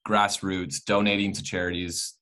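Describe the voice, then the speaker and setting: A Canadian man speaking as a guest in a casual podcast chat, halting yet enthusiastic in manner. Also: sits low, little pitch movement, background noise. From Alberta, Canada. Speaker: interview guest, actor.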